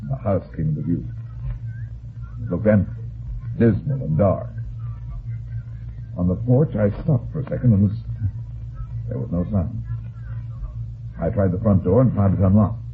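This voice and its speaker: An actor playing a tough, terse, sardonic heavyset private detective in an old-time radio drama. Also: male voice, sits low, expressive, normal speed, long pauses, slight background noise. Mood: grim, watchful. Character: hard-boiled, suspicious heavyset male private detective. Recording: tinny band-limited old radio recording.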